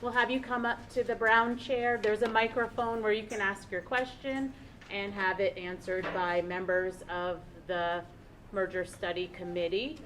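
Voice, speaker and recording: A woman's voice: mid-range voice, steady tone, measured pace, fairly bright tone, slight background noise, low-quality recording. A woman chairing a school committee public meeting, friendly and procedural, introducing members.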